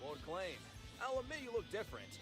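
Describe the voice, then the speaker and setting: A man's voice: sits high, very animated. A man voicing a brash young speedster hedgehog in a cartoon fan dub, taunting and drawing out his words. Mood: cocky. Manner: brash, taunting, drawn-out delivery. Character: Sonic, cocky young male speedster hedgehog. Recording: loud music behind the voice.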